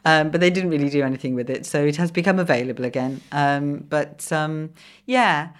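A woman's voice: deep voice, a little fast. A middle-aged Welsh woman, whose first language is Welsh, talking as a podcast guest, hesitant and full of ums and restarts. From Wales. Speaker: guest, comedy writer and former commissioning editor. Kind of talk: casual podcast conversation.

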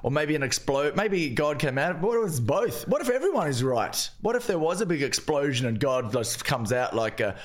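An Irish man co-hosting a comedy podcast, playful and quick to laugh in casual chat. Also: fairly high pitch, very animated. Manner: playful, giggly, swoops into silly voices. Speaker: podcast co-host.

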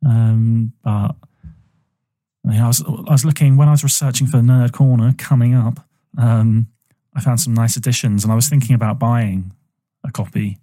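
A man co-hosting a podcast, giving a measured, thoughtful album review with frequent ums. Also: medium pitch, steady tone, warm tone, no echo. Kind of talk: casual review chat.

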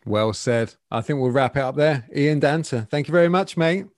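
A man's voice: medium pitch, moderate pace. A man hosting an interview podcast, chatting in a friendly, encouraging way with his guest. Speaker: podcast host. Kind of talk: casual interview chat.

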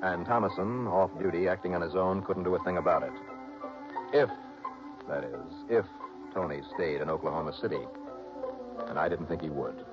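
An actor playing a dry, wisecracking insurance investigator who also narrates in an old radio drama. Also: male voice, sits low, normal speed, long pauses, music in the background, lightly acted. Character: wry, tough male insurance investigator. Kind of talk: 1940s radio acting. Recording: dull old radio broadcast.

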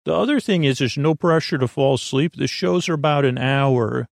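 A man with a creaky voice hosting a bedtime podcast in a meandering, halting monologue that keeps interrupting and repeating itself. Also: medium pitch, lively intonation, no room sound, clean studio sound. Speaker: podcast host.

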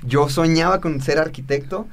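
A man's voice: a little high, normal speed. A man hosting a casual interview, thinking aloud and repeating words as he gathers his thoughts. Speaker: interview host.

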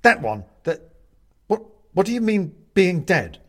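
A man's voice: fairly high pitch, very animated, measured pace, husky, voice pushed up, heavily acted. A man voicing a frightened, bewildered male newcomer in a storybook, stammering and pleading. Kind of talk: storybook character voice.

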